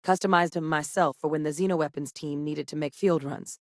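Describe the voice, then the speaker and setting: An actress voicing a composed female military xenoweapons scientist in a video game, measured and professional. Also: low-pitched, steady tone, no room sound, clean studio sound. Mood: calm. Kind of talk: game voice lines. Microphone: studio mic.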